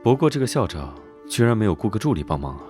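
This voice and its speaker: An actor playing a teasing, scheming young noble duke, self-assured and drawling, in an animated drama dub. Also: male voice, fairly low pitch, quick pace. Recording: background music under dialogue.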